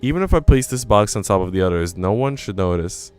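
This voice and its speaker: A man's voice: fairly low pitch, lively intonation, fairly quick, a little mellow, clear, resonant tone, soft background music. A man reading a visual novel aloud as a let's player, acting out narration and dialogue and dropping in his own asides. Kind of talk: expressive read-aloud of story narration and dialogue.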